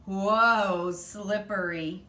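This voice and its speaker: A woman voicing a plucky, excitable little male hamster in a children's storybook, exclaiming eagerly. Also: medium pitch, very slow. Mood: startled. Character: small male classroom hamster, plucky, excitable, self-important. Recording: roomy, distant mic, faint noise.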